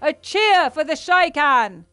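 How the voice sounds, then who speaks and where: An actress playing a proud, headstrong warrior commander in a fantasy video game, assertive and declamatory. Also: female voice, high voice, very animated, slow, bright, forceful tone, soft background music, full character voice. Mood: rallying. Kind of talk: dramatic video game acting.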